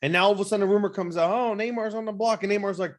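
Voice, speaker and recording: A man's voice: high-pitched, fairly even intonation, racing. A man co-hosting a casual sports podcast, rambling through fillers and acting out what players might say. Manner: filler-heavy, joking, acts out imagined quotes. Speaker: podcast co-host.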